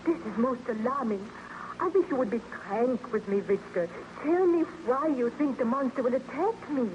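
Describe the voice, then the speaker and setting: An anxious young sweetheart, acted by a woman, devoted and full of earnest questions. Her voice sits fairly high, with the melodrama of vintage radio acting. The recording is dull and heavily noisy. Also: expressive, normal speed, darkish tone, natural voice. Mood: alarmed, pleading. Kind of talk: old-time radio drama acting. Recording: noisy, muffled old-time radio recording.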